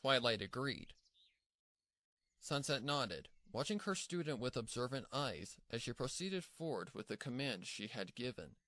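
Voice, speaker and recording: A man reads scripted fiction as the narrator. His mid-pitched voice moves up and down a lot, giving the storytelling an animated shape. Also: a little slow, dry recording.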